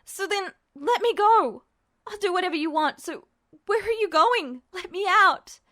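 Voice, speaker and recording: A woman's voice: very high voice, expressive, measured pace, bright, forceful tone, voice pushed up, full character voice. A woman voicing a terrified, hot-tempered boy in a story reading, pleading and stammering as he repeats himself. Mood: desperate. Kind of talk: dramatized fan fiction reading.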